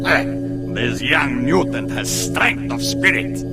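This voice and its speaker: An actor playing an earnest, principled male mutant in a video game, speaking in a calm, gentle, protective way. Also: medium pitch, takes it slowly. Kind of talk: video game cutscene acting. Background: game music score.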